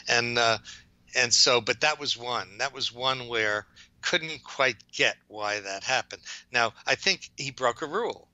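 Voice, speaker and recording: Older male psychologist, New York accent, sharp bright voice, halting reflective delivery, dry lo-fi recording. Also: medium pitch, no echo. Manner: rambling, halting, self-correcting. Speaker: OCD psychologist, interview guest. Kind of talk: reflective interview answers.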